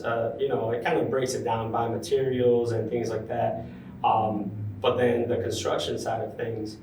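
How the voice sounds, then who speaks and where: A man's voice: mid-range voice, a little mellow, a little hiss or hum. Man speaking from across an echoey room. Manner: thoughtful, frequent ums, restarts. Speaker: construction project superintendent, guest. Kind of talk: casual interview conversation. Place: large room at a university center. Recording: roomy, distant mic, faint ambience.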